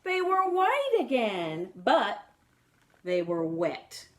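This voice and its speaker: A woman reading a picture book aloud to young children in an animated way, putting questions to her listeners and exclaiming along with the story. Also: mid-range voice, extremely slow.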